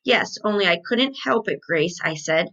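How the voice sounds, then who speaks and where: A woman's voice: on the low side, expressive, moderate pace, a bit bright, muffled recording. A woman voicing a chatty, indignant kindergarten girl from a storybook, with emphatic childish phrasing.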